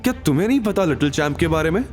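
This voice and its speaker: A man's voice: fairly high pitch, animated, rapid-fire, faint music behind, voice pushed up, close to an everyday voice. A man voicing a bubbly, playful young woman with a light, teasing manner in an audio drama. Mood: wistful admiration. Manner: light, chirpy, casual.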